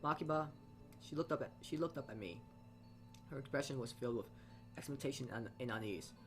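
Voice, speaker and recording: A man's voice: medium pitch, fairly quick, over music. A man reading a game story aloud as he plays, narrating with side comments and often stumbling and repeating phrases. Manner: stumbles over words and rereads phrases. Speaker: let's player reading a visual novel aloud.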